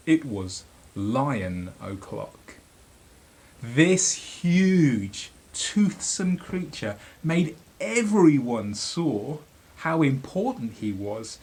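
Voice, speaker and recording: Slow, animated man reading a storybook. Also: a little high. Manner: dramatic, with deliberate pauses. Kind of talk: expressive children's storybook narration.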